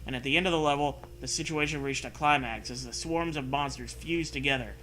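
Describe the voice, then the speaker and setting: Bright, forceful man, sarcastic read-aloud. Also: medium pitch, brisk, a little hiss or hum. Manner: sarcastic, swearing. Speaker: host reading and mocking fan fiction. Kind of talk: story read-aloud with mocking casual commentary.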